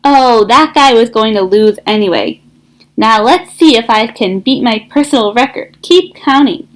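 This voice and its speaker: A woman voicing a bossy, boastful young female duck in a storybook, excitable and commanding. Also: on the high side, normal speed, lightly acted.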